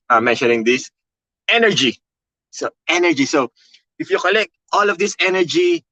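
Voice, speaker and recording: A man with a Filipino accent and a loud voice, teaching a motivational webinar with emphatic, high energy, repeating key phrases and often checking in with okay and all right. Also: no echo, rough recording. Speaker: content creation coach.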